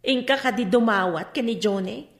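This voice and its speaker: A woman's voice: a little high, normal speed, reverberant, natural voice. A woman playing a nosy, cheeky gossiping neighbour in a radio drama, teasing and giggly.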